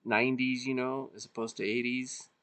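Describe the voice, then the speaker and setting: A man born in Serbia, co-hosting a podcast in a relaxed, casual chat, swearing freely and trailing off mid-thought. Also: medium pitch, normal speed. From Serbia (former Yugoslavia). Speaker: podcast co-host.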